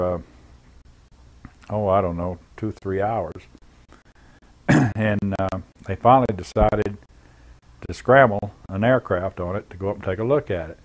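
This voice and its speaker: Low-voiced man, halting and plain-spoken. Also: a little mellow, poor audio. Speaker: former air traffic controller giving an eyewitness account. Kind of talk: unscripted first-person recollection.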